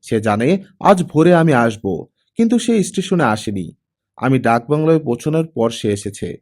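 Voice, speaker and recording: A man voicing a proud, irritable older retired police chief and father in an audiobook drama, gruff and authoritative. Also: medium pitch, big pitch swings, moderate pace, darkish tone. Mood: irritated, proud.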